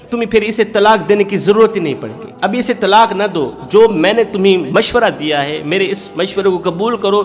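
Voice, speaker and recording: A man telling a moral tale, voicing a scheming male friend who gives sly advice, insistent and repetitive. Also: sits high. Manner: insistent, persuasive, repeating himself. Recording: long hall reverb.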